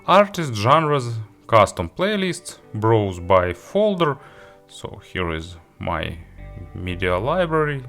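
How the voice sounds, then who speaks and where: A man giving a product review walkthrough, halting a little as he lists features item by item. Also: fairly low pitch, very animated, fairly bright tone, soft background music. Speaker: tech reviewer demonstrating an audio player.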